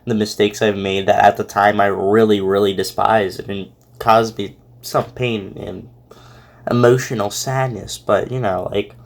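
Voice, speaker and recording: Halting, rambling man giving casual reaction commentary. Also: fairly low pitch, steady tone, gravelly. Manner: halting, rambling, heavily repeating words. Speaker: host reacting to a fan fiction audio reading.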